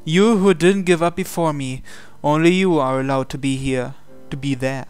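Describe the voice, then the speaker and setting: Male visual novel reader, fairly high voice, dramatic scripted narration, music underneath. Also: normal speed, darkish tone, smooth.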